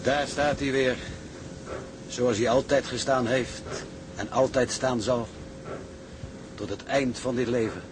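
Radio drama casting: man playing a gruff, loyal deckhand, mid-pitched, blunt, with clear vehicle noise behind him. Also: fairly even intonation, slow, pauses often, close to an everyday voice. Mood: reverent. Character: gruff, loyal, irreverent tugboat seaman. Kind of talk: Dutch radio play acting. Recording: loud engine-like ambience behind voice.

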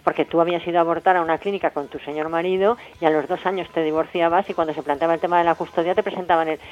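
Fast-talking Spanish woman, emphatic and colloquial. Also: on the low side, fairly even intonation, darkish tone, highs cut off. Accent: Spain. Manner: emphatic, colloquial, full of checking tag questions. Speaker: interviewed guest, health professional and feminist activist. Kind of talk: informal radio interview, explanatory.

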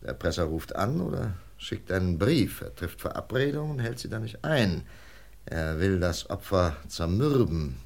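An actor playing a methodical, persuasive FBI investigator in a German radio crime drama, measured, lecturing and emphatic. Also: male voice, sits low, sing-song, gravelly, a little mellow, heavily acted.

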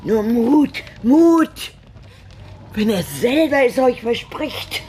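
A woman's voice: on the high side, sing-song, very slow, warm tone, slight background noise, heavily acted. A woman in a radio play voicing a cowed old female servant, plaintive and submissive towards her master. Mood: whining, anxious. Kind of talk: dramatic radio play acting.

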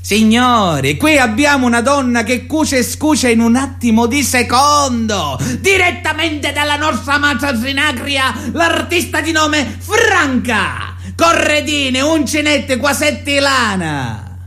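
A man's voice: extremely high pitch, expressive, slow pace, sharp tone, soft background music, exaggerated voice. An actor playing a swaggering, menacing young Sicilian man of honour in a radio drama, boastful and mocking, with a Sicilian accent. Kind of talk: theatrical radio drama monologue.

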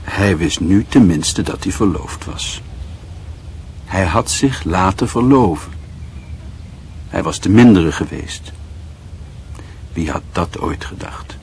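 An actor narrating a literary radio drama in a measured, wry and sombre manner. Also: male voice, deep voice, lively intonation, darkish tone, a little hiss or hum, exaggerated voice.

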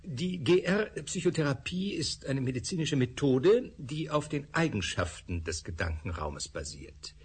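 A man's voice: mid-range voice, lively intonation, unhurried, few pauses, husky. An actor playing a self-assured male research doctor who speaks lightly and a little dismissively. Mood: breezy. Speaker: scientist. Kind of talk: radio drama acting.

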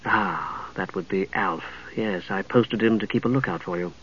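A man's voice: sits low, moderate pace, fairly bright tone, husky, dull audio, voice pushed down. A man voicing a regal, knowing middle-aged female knight with cultured, composed diction in a fantasy audiobook. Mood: brisk, assured.

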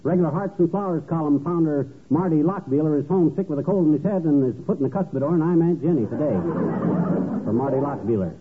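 An actor playing a blustery, self-satisfied husband acting as advice columnist in a folksy, boastful manner, in 1940s radio comedy style. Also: male voice, on the high side, fast, lightly acted. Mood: breezy, boastful. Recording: noisy, tinny old radio broadcast recording.

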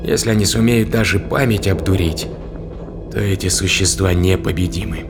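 Suspicious spaceman's brooding inner voice, acted by a man, deep and gravelly, sci-fi audio drama over loud music. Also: normal speed. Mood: alarmed, hostile. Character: suspicious, alarmed spaceman thinking to himself. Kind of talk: science fiction audio drama acting.

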